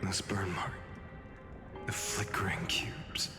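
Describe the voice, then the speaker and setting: Very slow, deep brooding warrior. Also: male voice, steady tone, in a whisper. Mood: grave, haunted. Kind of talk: scripted game dialogue, dramatic. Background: loud game music.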